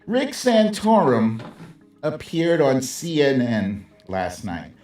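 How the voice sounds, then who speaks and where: A man's voice: mid-range voice, sing-song, slow pace, darkish tone. Male livestream host, sardonic and opinionated, salty casual phrasing, leisurely delivery, distant roomy sound. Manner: sardonic, conversational, salty slang. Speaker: host of a live political commentary stream. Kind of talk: casual opinionated commentary with asides to viewers. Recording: roomy echo, distant mic, music behind.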